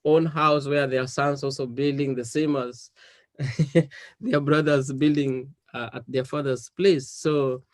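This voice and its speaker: A man's voice: mid-range voice, steady tone, slow, no echo. A man from western Kenya with a Kenyan accent, a native speaker of Dholuo, answering interview questions with frequent ums and repeated words. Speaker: musician interviewee.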